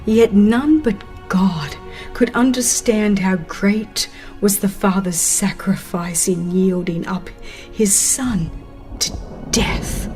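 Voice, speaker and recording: Female narrator for dramatized religious audiobook: slow, solemn, expressive delivery with dramatic pauses, soft music behind. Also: medium pitch.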